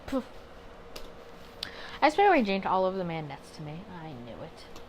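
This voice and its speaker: A woman's voice: on the low side, huge pitch swings, normal speed, a little hiss or hum. A woman doing a casual game playthrough, chatting expressively as she reads story text aloud and reasons through her reactions. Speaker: gaming video creator.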